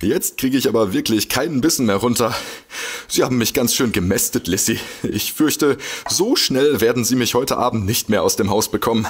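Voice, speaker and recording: An actor playing a gullible, excitable man in a comic audio drama, stammering and easily amazed. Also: medium pitch, normal speed, husky, natural voice. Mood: contented, stuffed. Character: gullible, excitable man, a food-loving paranormal enthusiast.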